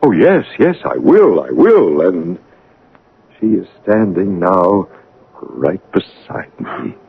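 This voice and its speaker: An actor playing a brooding, commanding gentleman master and passionate suitor in an old-time radio drama, abrupt and ardent in manner. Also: low voice, very animated, gravelly, husky, heavily acted. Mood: ardent, urgent. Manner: teasing, abrupt, ardent, halting for effect. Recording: dull, band-limited old radio broadcast.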